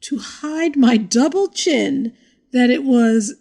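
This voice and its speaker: A woman's voice: high-pitched, slow, vocal fry, warm tone, husky. A middle-aged woman co-hosting a comedy podcast in casual chat, with a dry, wry, self-deprecating manner. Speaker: podcast co-host.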